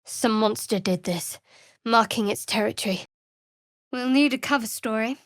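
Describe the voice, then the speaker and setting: Woman acting a guarded, sardonic young cleric, higher voice. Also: normal speed, dry recording, natural voice. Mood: wary, observant. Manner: dry, guarded, wry delivery. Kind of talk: video game character voice acting.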